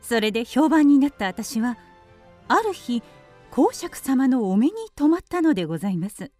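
A ladylike little songbird narrates in an audio drama. The voice is high and lively in pitch, with polite, genteel phrasing. Music plays behind it. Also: moderate pace. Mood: proud, genteel. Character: refined, ladylike female canary telling her life. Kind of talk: Japanese radio drama narration.

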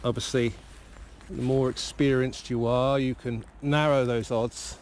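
Slow-talking man musing outdoors. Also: mid-range voice, fairly even intonation. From Cornwall, England. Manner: musing, hesitant, pausing mid-sentence. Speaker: fishing vlogger, novice salmon angler. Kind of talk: casual reflective outdoor vlog chat. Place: outdoors on a river bank. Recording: clear outdoor background noise.

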